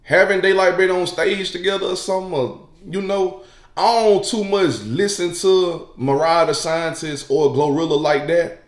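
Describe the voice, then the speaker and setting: Casting: male reaction commentator, slightly high voice, conversational and slangy, checking that listeners follow. Roomy, echoing recording. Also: steady tone, moderate pace. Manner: conversational, slangy, seeks agreement. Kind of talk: casual reaction chat.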